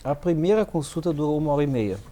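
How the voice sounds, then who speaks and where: A man from Rio de Janeiro, interpreting carefully in a TV studio, often pausing on fillers. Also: fairly high pitch, expressive, warm tone, slight background noise. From Rio de Janeiro, Brazil. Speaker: interpreter, guest. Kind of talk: consecutive interpreting.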